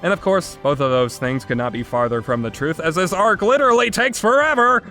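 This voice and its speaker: A man's voice: on the high side, expressive, a little fast, pushed and bright, faint music behind. A man giving an opinionated video game review in an exasperated, sarcastic and emphatic way, with casual phrasing.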